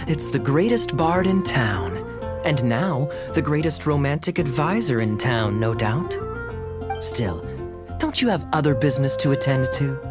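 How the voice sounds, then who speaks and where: An actor playing a sly, self-assured young man in a video game, smooth and playful in his delivery. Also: medium pitch, expressive, measured pace, pauses often, lightly acted. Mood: sly, amused. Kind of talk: video game character acting. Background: background music.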